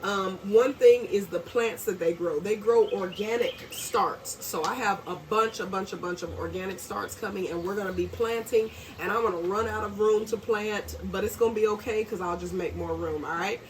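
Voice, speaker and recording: A woman's voice: mid-range voice, moderate pace, hardly any pauses. A woman, a bit congested and nasal, chatting casually to camera in a rambling, friendly way. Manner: chatty, rambling, warm asides. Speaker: homesteading vlogger. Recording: distant mic, faint background noise.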